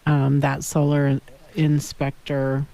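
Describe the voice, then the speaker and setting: A woman's voice: low voice, monotone, slow pace, vocal fry, warm tone, rough recording. A woman co-hosting a real estate radio talk show, putting follow-up questions to a guest.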